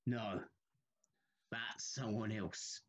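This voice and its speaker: A man playing a troubled railway signalman in an audio drama, haunted and deferential, speaking haltingly. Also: lowish voice, fairly even intonation. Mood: grave, uneasy. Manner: slow, halting, deferential, uneasy.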